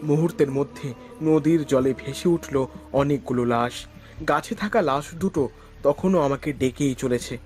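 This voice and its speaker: High-voiced man narrating horror. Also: normal speed, darkish tone, faint music behind. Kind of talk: scripted first-person horror story narration.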